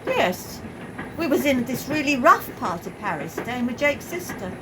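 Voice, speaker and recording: A woman's voice: sing-song, measured pace. A woman playing a frightened, defensive working-class train passenger in a radio play, speaking bluntly and colloquially. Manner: colloquial, non-standard grammar, blunt. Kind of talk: amateur radio play acting. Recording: noisy, train carriage rumble behind.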